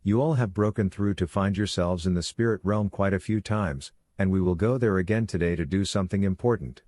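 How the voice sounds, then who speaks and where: A man voicing a calm, authoritative werewolf pack leader who lectures in a measured, instructive way for a fantasy audiobook. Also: sits low, no room sound, pitched below the performer's own voice, natural voice. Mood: patient authoritative.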